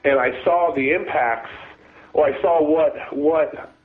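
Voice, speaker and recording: A man, a former deputy sheriff, talking as a guest with a raspy voice from a cold, halting and restarting his sentences. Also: fairly high pitch. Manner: halting, many restarts and repeated words. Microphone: phone. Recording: phone line.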